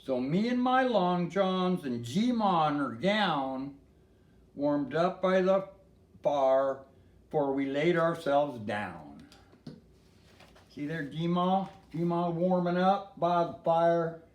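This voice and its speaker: A man's voice: a little high, lively intonation, takes it slowly, roomy sound. An older man reading a storybook in verse in a folksy way, with casual asides to a listener.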